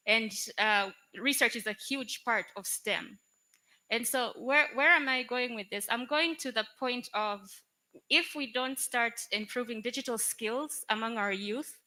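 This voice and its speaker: A woman from Tanzania, speaking on a conference panel in a measured, steady way. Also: on the high side, low-quality recording. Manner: measured, steady, cites statistics. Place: conference hall.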